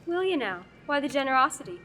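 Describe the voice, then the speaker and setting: A woman's voice: sits high, sing-song, fast, heavy background noise. A teenage actress playing a blunt, sarcastic young farm girl in a school radio play. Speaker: student actor. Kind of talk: amateur radio play acting.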